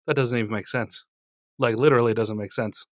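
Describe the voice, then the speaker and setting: A man's voice: mid-range voice, steady tone, a little fast, no room sound. A man co-hosting a casual podcast, joking and teasing his co-host and laughing often, on a studio mic. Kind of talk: casual chat. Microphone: studio mic through a mixer.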